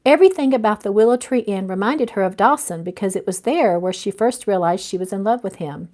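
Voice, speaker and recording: A woman's voice: mid-range voice, brisk, a little mellow. Woman reading a romance novel aloud. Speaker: author reading their own novel aloud. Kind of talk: audiobook-style narration of a romance novel, live reading.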